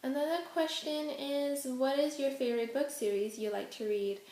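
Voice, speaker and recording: A woman's voice: high voice, steady tone, moderate pace, a little mellow, echoey room. A young woman whose first language is Hokkien, chatting casually through a Q&A vlog at home with lots of ums. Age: young adult. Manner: chatty, many ums, giggly asides. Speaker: vlogger. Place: indoors at home, face out of frame.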